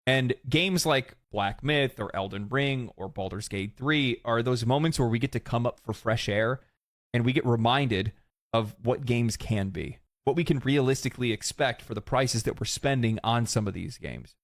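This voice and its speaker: A man commenting on video games, opinionated and ranting, his voice hoarse and fading. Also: medium pitch, moderate pace, no room sound, clean studio sound. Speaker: gaming commentator.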